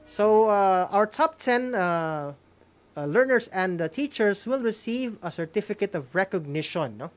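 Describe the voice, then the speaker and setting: A woman's voice: mid-range voice, expressive, a little slow, soft background music. A woman with a Filipino accent hosting an award ceremony on a radio program, often tagging phrases with "no?".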